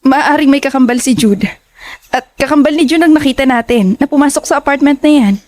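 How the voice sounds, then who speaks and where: A woman playing a grave, hesitant young woman in an audio horror drama, speaking in a measured, troubled way. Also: sits high, darkish tone, lightly acted. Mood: grave, thoughtful.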